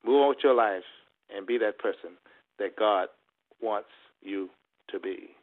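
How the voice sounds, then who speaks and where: Muffled recording of a motivational man speaking. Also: lowish voice. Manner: emphatic, deliberate phrasing with rhetorical repetition. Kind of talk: motivational talk with preacher-like exhortation. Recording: muffled lo-fi conference-call audio.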